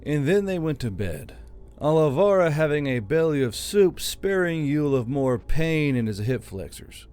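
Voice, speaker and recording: Male fantasy audiobook narrator over soft music. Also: a little high, very animated, moderate pace, clear, resonant tone. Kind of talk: scripted fantasy novel narration. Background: background music.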